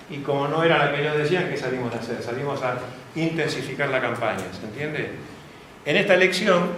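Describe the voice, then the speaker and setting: A man's voice: medium pitch, a bit bright. Argentine man lecturing in an echoey room. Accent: Argentine Spanish. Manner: anecdotal, asks the audience for confirmation. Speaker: guest lecturer. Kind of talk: open class lecture. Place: classroom. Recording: very echoey classroom, faint background noise.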